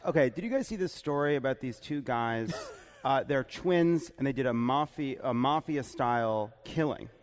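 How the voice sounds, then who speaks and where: A man's voice: medium pitch, normal speed. Joking man in a reverberant hall. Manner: joking, laughs as he talks. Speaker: podcast host. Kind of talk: casual comic storytelling about politics. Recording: echoey live hall.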